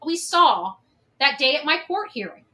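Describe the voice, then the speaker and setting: A woman with a high, forceful, bright voice speaks off the cuff as an opinionated commentator. Her delivery is emphatic and accusatory, and she repeats phrases to drive her points home. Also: sing-song, normal speed. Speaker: online commentator on a case. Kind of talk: opinionated unscripted monologue.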